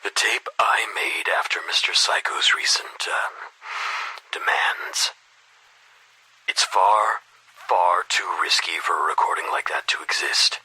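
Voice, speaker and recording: A man playing a weary, guilt-ridden middle-aged headmaster in a video game, recording a brooding, formal confession as an audio diary with a husky, breathy voice. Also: deep voice, sharp tone, in a whisper, heavily acted, poor audio. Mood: anxious. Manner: measured, brooding, formal diction.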